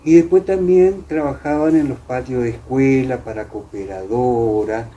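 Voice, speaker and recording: An older Argentine man recalls the past as an interview guest, in an Entre Ríos accent. He talks haltingly, with a warm, dark tone at a middling pitch. There is noise behind his voice. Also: lo-fi sound. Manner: hesitant, pausing often. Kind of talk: reminiscing in conversation. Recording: clear background noise.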